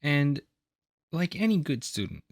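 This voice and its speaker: A man's voice: a little high, very animated, unhurried, dry recording, studio quality. A young man narrating a class project presentation in a casual way, with self-deprecating asides. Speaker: student presenter. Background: faint guitar music.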